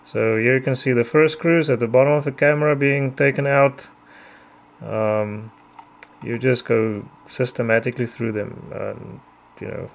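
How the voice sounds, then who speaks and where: Gravelly man, Afrikaans native speaker. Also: mid-range voice, a little hiss or hum. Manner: measured, careful, frequent ums. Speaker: DIY tutorial narrator. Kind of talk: explanatory voice-over.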